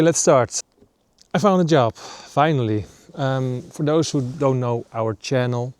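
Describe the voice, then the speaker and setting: A man in his thirties from the Netherlands, a native Dutch speaker, talking casually to camera in a halting way with many fillers. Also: mid-range voice, darkish tone, clear, resonant tone, dry recording. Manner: halting, many ums and uhs. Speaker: nature vlogger. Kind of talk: casual vlog talk to camera. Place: outdoors in northern Sweden.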